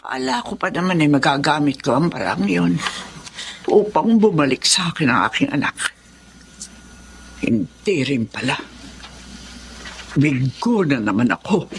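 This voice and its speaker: Radio drama villain: bitter scheming father, slow swooping delivery, brooding melodrama. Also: fairly high pitch, lively intonation, a little hiss or hum. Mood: bitter, frustrated. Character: bitter scheming father scorning his daughter-in-law. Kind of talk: Filipino radio drama acting.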